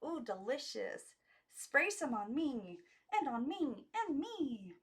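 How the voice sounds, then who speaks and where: A naive, awestruck little girl from a storybook, acted out by a woman reader. The voice is pitched high and stretches its words slowly in gushing wonder. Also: big pitch swings, a bit bright, exaggerated voice. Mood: awed delight. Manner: gushing, drawn-out oohs and exclamations. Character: chorus of naive, wide-eyed, awestruck imaginary young girls.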